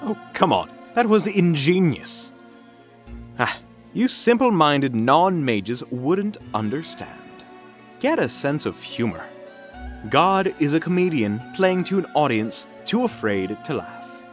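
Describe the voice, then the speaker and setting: A man's voice: a little high, big pitch swings, takes it slowly. A man playing a smug, sardonic, theatrical mage in a fantasy audio drama. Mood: mock-woeful, smug. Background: faint music.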